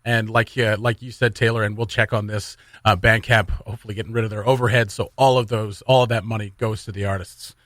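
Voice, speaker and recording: A man's voice: fairly low pitch, flat delivery, a little fast, few pauses, fairly bright tone, no room sound, clean studio sound. A man hosting a casual radio show in an upbeat, chatty way. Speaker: radio morning show host. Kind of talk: casual radio chat and interview. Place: radio studio.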